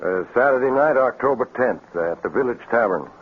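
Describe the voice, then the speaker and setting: A plain-spoken country sheriff in an old radio play. He is firm and folksy, with a rough, husky voice at middling pitch, heard through a tinny, narrow recording. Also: expressive. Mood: measured testimony. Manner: folksy, deliberate. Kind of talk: 1950s radio drama acting. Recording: thin, phone-like vintage radio recording.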